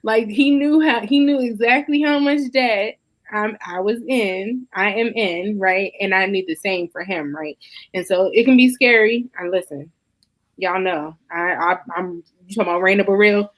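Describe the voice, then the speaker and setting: A woman in a casual panel conversation over a video call, talking in an emphatic, animated way. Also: mid-range voice, normal speed, poor audio. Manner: emphatic, preachy, animated storytelling.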